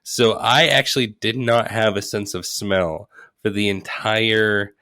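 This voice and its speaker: A man co-hosting a podcast, telling things casually in a hesitant, halting way. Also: on the low side, fairly even intonation, pushed and bright, dry recording. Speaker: podcast co-host.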